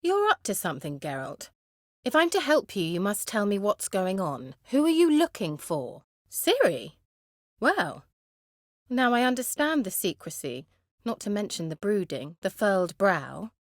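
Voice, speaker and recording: A woman's voice: mid-range voice, very animated, a little slow, no room sound, studio quality. A woman playing a haughty, sly sorceress in a fantasy video game, articulate, wry and teasing. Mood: probing, teasing.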